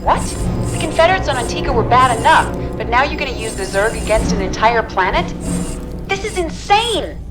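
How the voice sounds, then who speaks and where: An actress playing a defiant, outraged military lieutenant in a sci-fi game, forceful and urgent. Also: female voice, on the high side, big pitch swings, fairly quick, over loud music. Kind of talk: dramatic sci-fi game cinematic acting.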